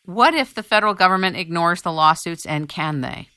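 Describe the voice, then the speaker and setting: A woman puts pointed questions to a guest as host. Her voice is bright and very clean, recorded close and dry, and her phrasing is deliberate. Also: lowish voice, expressive, clear, resonant tone, no room sound. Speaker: radio interviewer, host. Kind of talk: interview questioning.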